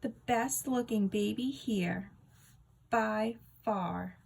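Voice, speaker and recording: A woman's voice: mid-range voice, extremely slow, vocal fry, a little mellow, husky, heavily acted. A woman voicing a smug, mocking schoolgirl rival in a storybook, sneering and theatrical. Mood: gloating.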